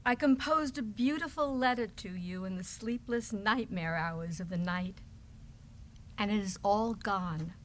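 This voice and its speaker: A woman's voice: mid-range voice, a little slow, a little hiss or hum, natural voice, low-quality recording. A woman playing a lovelorn female writer reading her love letter in readers theater. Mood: longing.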